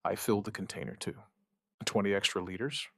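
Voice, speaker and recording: A man playing an outbreak survivor who gives a wry, weary witness account in an audio drama, in short, halting, understated phrases. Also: fairly low pitch, creaky, dry recording, clean studio sound. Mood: tense, dry. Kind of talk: scripted first-person audio drama narration.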